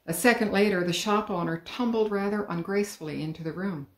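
A middle-aged woman, an author, reading her children's book aloud at home in a calm, clear storytelling manner. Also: mid-range voice, a little fast. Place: living room at home. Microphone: webcam, head and shoulders. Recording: roomy living room.

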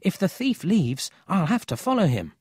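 A narrator voicing an earnest, anxious young country boy in a children's storybook, quick to stand up for himself. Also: mid-range voice, very animated, a little mellow, husky, no echo, clean studio sound, voice pushed up. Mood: worried, hopeful.

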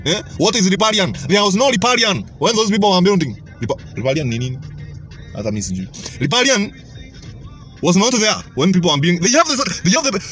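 A comedian ranting in mock outrage into a phone selfie camera, fast and animated. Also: male voice, sits high, faint music behind. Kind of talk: comic Instagram monologue. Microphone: phone selfie camera close up.

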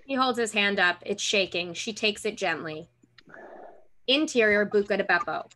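A woman's voice: medium pitch, moderate pace, pushed and bright. A woman narrating stage directions in a scripted table read over a video call.

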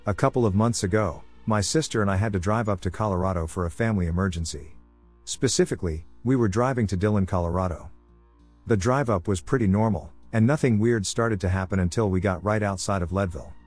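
A man with a fairly low voice reads scary first-person stories in a measured, deliberate way. He pauses for effect between short lines. Faint music plays under his voice. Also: darkish tone. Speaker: narrator. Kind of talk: scripted horror story narration.

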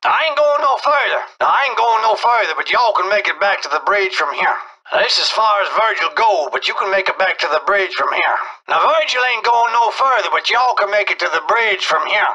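An actor voicing a folksy Cajun boatman in a video game, with an excitable drawl and a radio filter. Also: high voice, a little fast, heavily acted, poor audio. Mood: plainspoken, helpful. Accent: Cajun Louisiana. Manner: folksy, repetitive "now" and dialect grammar. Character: folksy Cajun boatman, friendly survivor of outbreak.

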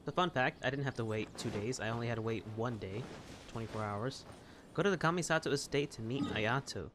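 A man's voice: mid-range voice, brisk, background noise. A man doing casual game playthrough commentary, reading dialogue aloud and chatting as he plays. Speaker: gaming video creator.

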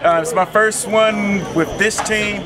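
A man from the United States, a football coach, answering post-game questions in a measured, plain-spoken way outdoors. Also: sits high, fairly even intonation, heavy background noise. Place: outdoor sports field.

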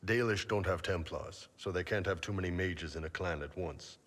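An actor playing a big, gruff and jovial horned mercenary captain in a fantasy game, easygoing and teasing. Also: male voice, low-pitched, normal speed, fairly bright tone, big-room sound. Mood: matter-of-fact. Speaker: mercenary company leader.

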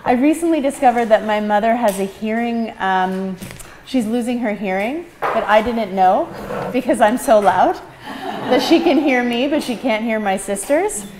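A woman in her thirties, a guest speaker in a meeting room, talking loudly without a microphone and laughing often. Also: on the high side, moderate pace, background noise. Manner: loud, projecting without a mic, laughs often. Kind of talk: casual talk to a small audience. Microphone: far camera, no microphone.

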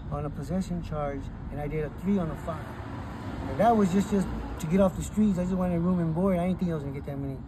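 A middle-aged man with a fairly high voice tells his story in an animated, gesturing way. He sits outdoors by a chain-link fence, filmed close on a phone. Cars and street ambience run clearly behind him. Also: quick pace. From Texas. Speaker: interviewee. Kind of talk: casual street interview. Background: traffic and street ambience.

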